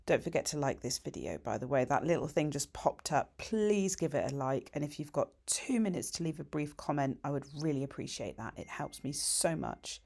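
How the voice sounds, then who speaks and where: Croaky, gravelly woman. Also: sits low, lively intonation, moderate pace, hardly any pauses, darkish tone. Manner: chatty, practical, cheerful asides. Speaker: nail technician teaching a tutorial. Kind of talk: tutorial voiceover.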